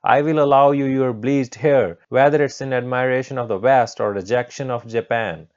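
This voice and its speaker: Man putting on a shrill, scolding lady schoolteacher. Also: moderate pace, darkish tone, close to an everyday voice. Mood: indignant scolding. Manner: scolding, verbose, shrill and exclamatory. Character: shrieking, indignant, overbearing young woman schoolteacher. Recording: dull, muffled lo-fi sound.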